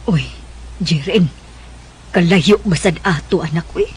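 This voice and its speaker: A woman playing a gentle, worried mother with a Cebuano Bisaya accent, caring and expressive, in a radio drama. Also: fairly low pitch, measured pace, husky. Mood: worried, reluctant.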